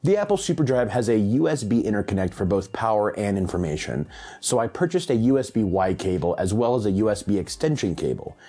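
Low, bright man presenting a tutorial. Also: sing-song, fairly quick, soft background music. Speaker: host of a hi-fi audio channel. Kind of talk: scripted tech tutorial presented to camera. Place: small home room, indoors.

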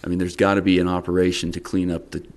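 A middle-aged man, a town council member, questioning a business owner at a public work session, measured and repeating words as he presses his point. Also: low voice, flat delivery, darkish tone. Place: town council meeting room, indoors. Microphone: desk microphone.

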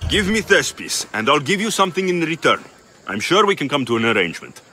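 An actor playing a calm, direct young mercenary hero, with game music behind. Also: male voice, on the high side, fast. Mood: firm.

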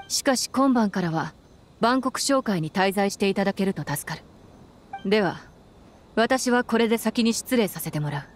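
A woman's voice: medium pitch, close to an everyday voice. Actress as a stern female commander. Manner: formal, curt, authoritative. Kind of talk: Japanese anime game dub.

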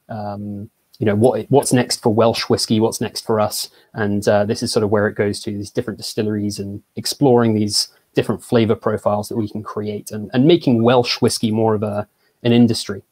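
A young English man from Surrey, a brand ambassador presenting in an online tasting, relaxed and hesitant with many fillers. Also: lowish voice, no echo. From England (Surrey). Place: small home room. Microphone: video call.

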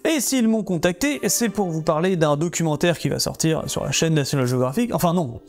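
A man's voice: fairly high pitch, animated, a little fast, darkish tone, faint music behind. A man in his thirties hosting a casual explainer vlog from a small room full of fossils, chatty and joking, with plenty of fillers and restarts. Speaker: YouTube host.

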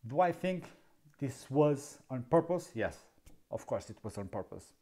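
Slow, wry Portuguese-accented man. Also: mid-range voice, sing-song, mellow. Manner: wry, sarcastic, asks himself questions. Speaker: camera video host. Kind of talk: casual tech explainer. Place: dark indoor studio, seated.

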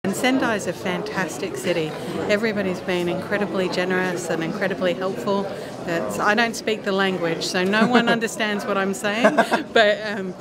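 A woman from Australia, a government delegate, speaks with eager, gushing praise. Her pitch is ordinary for a woman. A loud crowd fills the space behind her. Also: steady tone, moderate pace, hardly any pauses. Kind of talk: casual interview.

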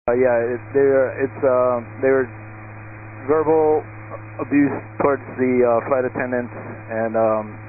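A man speaking as an airline pilot over aviation radio in halting, hesitant phrases. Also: medium pitch, fairly even intonation. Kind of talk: air traffic radio calls. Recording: tinny narrowband cockpit radio, clear static hum.

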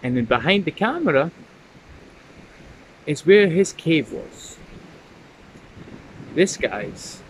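Young guy filming himself on a selfie cam, slow and dramatic, goes hushed then loud, faint outdoor hum. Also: on the high side, sing-song, rough recording. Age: young adult. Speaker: vlogger. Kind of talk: excitable travel vlog. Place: rocky seashore and dark sea cave. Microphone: handheld selfie camera.

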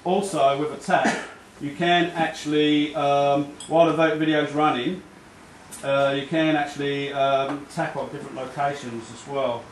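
A man's voice: on the high side, level tone, a little slow. Australian male demonstrator, hesitant, off-the-cuff demo, echoey store. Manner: hesitant, full of ums and restarts. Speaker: product demonstrator. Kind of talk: casual live product demo. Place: crowded store at a product night. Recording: echoey shop with crowd noise.

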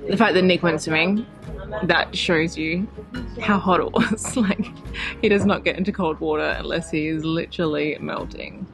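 A woman's voice: fairly low pitch, normal speed, over music. Friendly woman explaining to camera outdoors. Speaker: sailing vlogger. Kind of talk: casual chat to viewers. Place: outdoors by the sea.

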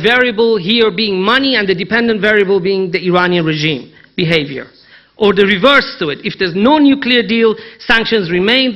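Guy w persian accent doing a conference talk, pretty high sharp voice, emphatic, asks then answers his own questions. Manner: emphatic, rhetorical questions, repeats key phrases for effect. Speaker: conference speaker, Iran analyst. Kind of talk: conference presentation, persuasive analysis.

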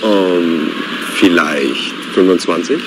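A man in his twenties playing a young man looking for a flat, hesitant and using fillers. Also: deep voice, takes it slowly, background noise. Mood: polite. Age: 20s.

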